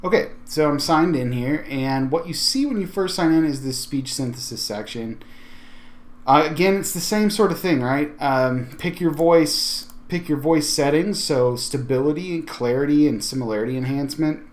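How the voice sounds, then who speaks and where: A man's voice: a little high, moderate pace. Casual man hosting a tech tutorial. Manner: informal, conversational, thinking aloud. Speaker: tech video host. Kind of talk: casual software tutorial walkthrough.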